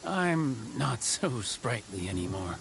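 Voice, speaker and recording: A man's voice: mid-range voice, sing-song, gravelly, background noise, exaggerated voice. A man voicing a kindly, easygoing old carpenter in a video game. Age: older. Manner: easygoing, unhurried, chuckling.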